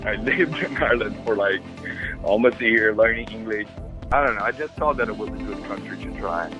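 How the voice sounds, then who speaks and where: A man from Brazil with a Brazilian Portuguese accent, a film director speaking as an interview guest in an animated way, often repeating words as he starts sentences. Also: lowish voice, fairly quick, lo-fi sound, faint music behind. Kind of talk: casual interview talk.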